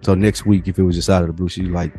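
A man's voice: low voice, level tone, quick pace, dark tone. A man co-hosting a podcast in casual, slangy conversation. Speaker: podcast co-host. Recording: music clearly playing behind the voice. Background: background music with guitar.

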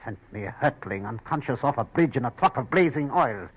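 An actor playing a bitter, menacing, disfigured villain bent on revenge, hissing and theatrical in formal phrases, in an old-time radio crime drama. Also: male voice, medium pitch, expressive, moderate pace, breathy edge. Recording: noisy vintage radio drama recording.

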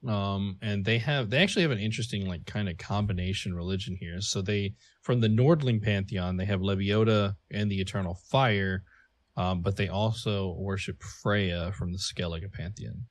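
A man's voice: on the low side, normal speed, no room sound. A man whose first language is not English, co-hosting a casual podcast and talking through notes with many fillers. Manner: chatty, filler-heavy, laughs at own jokes. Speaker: podcast co-host. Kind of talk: casual podcast lore talk.

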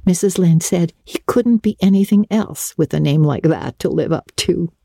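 A woman's voice: on the low side, moderate pace, vocal fry, mellow, no echo, studio quality. A woman playing an earnest, imaginative, chatty schoolgirl in her early teens, full of opinions and dramatic flourishes, in a storybook reading. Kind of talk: dramatic audiobook reading of classic novel.

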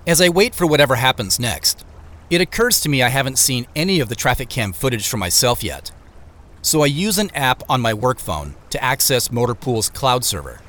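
Wry, lively-pitched man narrating. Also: fairly quick. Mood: wry, weary narration. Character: wry, beleaguered office man on the run. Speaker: actor narrating as main character. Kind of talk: first-person audio drama narration.

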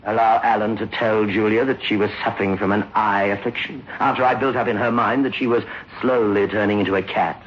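A man playing callous scheming murderer, low voice, calm condescending menace, 1960s radio thriller, dull noisy old recording. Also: fairly quick, hardly any pauses, lightly acted. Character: cold scheming murderous brother, smooth and callous. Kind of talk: 1960s radio horror acting.

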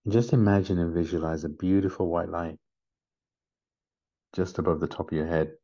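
A man leading a guided meditation in a gentle, soothing manner, with short unhurried instructions. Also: low voice, fairly even intonation. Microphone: video call. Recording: slightly muffled video call audio.

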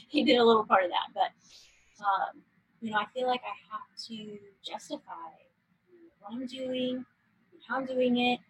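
A middle-aged woman, a woodworker, telling stories in a casual chat, animated and often restarting her sentences. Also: a little high, measured pace, a little hiss or hum, low-quality recording. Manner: animated, self-interrupting storyteller. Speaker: guest woodworker.

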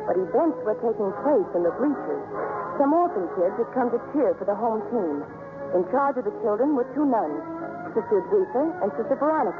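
Storyteller: high-pitched, dramatic old-radio narration over music, thin broadcast sound. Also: male voice, big pitch swings, brisk, narrow, tinny audio. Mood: narrating, dramatic. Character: storytelling man recounting a baseball tale.

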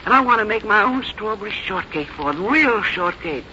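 Bright, folksy old farm mother. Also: medium pitch, lively intonation, normal speed. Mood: earnest, firm. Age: 70s. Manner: folksy, homespun, firm. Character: plain-spoken, strong-willed seventy-three-year-old farm mother. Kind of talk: 1950s radio drama acting. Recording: dull, noisy old radio broadcast recording.

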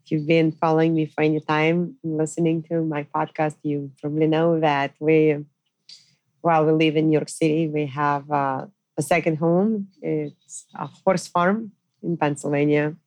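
A middle-aged woman with a Russian accent, hosting a podcast as a dating coach in casual, reflective talk, pausing often with fillers. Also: low-pitched, little pitch movement, a little mellow, no room sound, rough recording. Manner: reflective, pauses with frequent fillers. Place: quiet farmhouse in the countryside.

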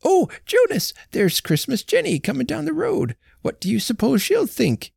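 Squeaky old farm wife, scared and begging, high up, dry close sound. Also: female voice, huge pitch swings, normal speed, creaky, a little mellow, no room sound, full character voice. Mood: anxious pleading. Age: older. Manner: pleading, fluttery.

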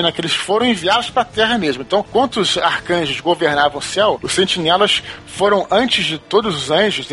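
A man's voice: on the high side, pushed and bright. A man with a Brazilian accent in a casual podcast chat, explaining as an author guest and often adding small tags for agreement.

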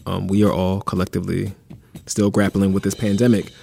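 A man's voice: low voice, flat delivery, fairly quick, gravelly, warm tone, a little hiss or hum. A man co-hosting a podcast in a playful, joking way. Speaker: podcast co-host. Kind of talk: casual banter.

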